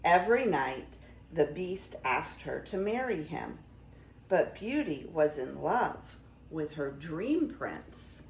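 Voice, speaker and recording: An older woman reading a fairy tale aloud as a grandmother would, with theatrical, expressive emphasis. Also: deep voice, takes it slowly, roomy sound, a little hiss or hum, rough recording. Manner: theatrical, dramatic storytelling with emphasis.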